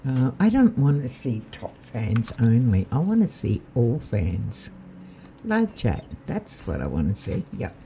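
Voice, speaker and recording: A man with a slightly croaky voice, chatting casually and thinking aloud while he live-streams a game. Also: medium pitch, wildly swooping pitch, a little slow, dark tone, slight background noise.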